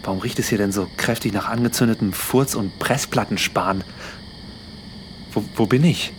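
A man's voice: fairly low pitch, normal speed, background noise, natural voice. A man playing a bewildered, panicky stranded fellow in a comedic radio play.

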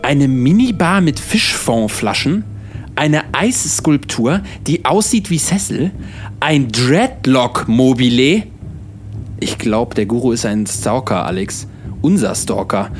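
Lively slangy frantic young man, played by an actor. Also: mid-range voice, takes it slowly, soft background music. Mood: baffled. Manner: exclamatory, slangy, melodramatic. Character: frantic loyal young slacker friend, comic hero. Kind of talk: amateur comedy audio drama acting.

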